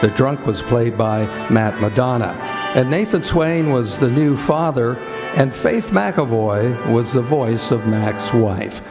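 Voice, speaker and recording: A man's voice: medium pitch, takes it slowly. A man announcing in a formal old-time radio style as the show's announcer. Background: music.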